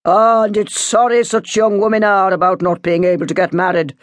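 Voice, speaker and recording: A woman voicing a paternal male parish priest in an audiobook, measured, coaxing and moralising. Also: medium pitch, flat delivery, no room sound, pitched above the performer's own voice, lightly acted. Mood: admonishing.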